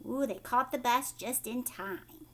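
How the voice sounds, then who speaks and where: A woman reading a children's story aloud like a teacher, expressively, pausing to ask her young listeners questions. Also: a little high, fairly bright tone.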